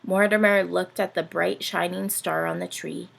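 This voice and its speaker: A woman reading a picture book aloud for young children in an expressive, dramatic storytelling style. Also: on the low side, moderate pace, gravelly.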